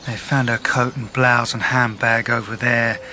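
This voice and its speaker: A methodical police detective in a naturalistic radio drama. The voice is bright and forceful at a middle pitch, brisk and matter-of-fact, with faint ambience behind it. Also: male voice, flat delivery, slight background noise. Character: methodical, level-headed male police detective.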